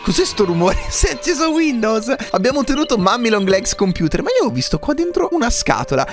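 A young man commentates a game in a high, very animated voice. His delivery is playful and excitable, like a YouTuber playing for viewers. Light music plays behind him. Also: fast talker. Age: young adult. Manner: playful, animated, often breaking into giggles. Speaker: gaming YouTuber.

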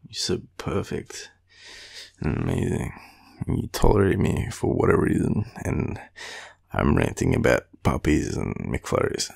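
A tired young Australian man murmuring an affectionate, rambling boyfriend roleplay in a deep, gravelly, breathy voice. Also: huge pitch swings, a little slow, darkish tone. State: tired, sleepy. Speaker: boyfriend roleplay audio performer. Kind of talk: intimate sleepy roleplay, ASMR-like rambling.

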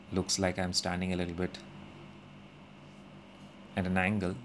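A young man calmly narrating his gym workout as a voice-over, explaining each step with frequent pauses. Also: sits low, slight background noise. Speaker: fitness vlogger. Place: indoor gym.

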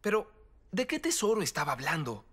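A man's voice: high-pitched, huge pitch swings, brisk, slightly rough, full character voice. A man voicing a determined, earnest teenage boy hero in a Latin American Spanish cartoon dub, with youthful delivery. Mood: puzzled. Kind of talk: animated series dub. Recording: loud music behind.

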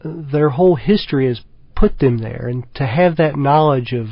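A man's voice: mid-range voice, moderate pace, dry recording, dull audio. A middle-aged man from Kentucky, a wildlife biologist, talking in an easygoing, thoughtful way as a radio interview guest. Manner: easygoing, thoughtful, lots of fillers.

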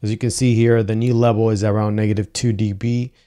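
A young man giving a casual software tutorial, talking through each step with frequent "uh" and "um" fillers, on a lapel mic. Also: on the low side, flat delivery, fairly quick. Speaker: video editing tutor. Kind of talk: screen-recorded software tutorial. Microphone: wireless lapel mic.

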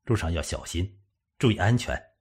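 A man voicing a gentle, sorrowful middle-aged father in prison, in an audiobook, speaking in a subdued way. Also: low voice, lively intonation, moderate pace, husky, voice pushed down. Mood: tender, sorrowful.

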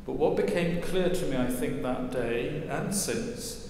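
An older man preaching a sermon from a church lectern, wry and gently humorous as he tells stories. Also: fairly high pitch, lively intonation, unhurried, a little hiss or hum. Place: large stone church, at a lectern. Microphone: lectern mic, far camera. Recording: echoey church with long reverb.

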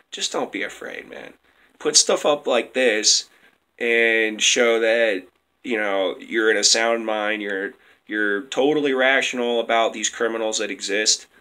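A man's voice: medium pitch, sharp tone, low-quality recording. A young man giving a rambling, heated monologue into a webcam in a small room at home. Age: young adult. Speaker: vlogger. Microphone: webcam close up.

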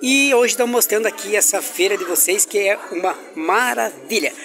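A man's voice: sits high, animated, a little fast, fairly bright tone, faint music behind. A man talking casually and cheerfully to his camera while walking around an indoor farmers' market, chatting with vendors and children. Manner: cheerful, chatty, religious exclamations. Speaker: travel vlogger. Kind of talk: casual vlog chat.

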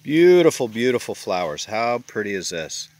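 A man's voice: on the low side, very animated, moderate pace, darkish tone, no echo. Cheerful man narrating an outdoor hike. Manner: enthusiastic, exclaims about the scenery, laughs often. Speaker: vlogger filming a hike. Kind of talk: casual vlog narration. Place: outdoors on a wooded island. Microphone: handheld camera.

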